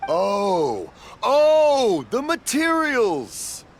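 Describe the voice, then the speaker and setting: A man's voice: very high-pitched, huge pitch swings, drawn-out words, heavy background noise, heavily acted. An actor playing a cheerful, hard-of-hearing elderly father who makes fireworks, in an English anime game dub. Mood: genial. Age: older.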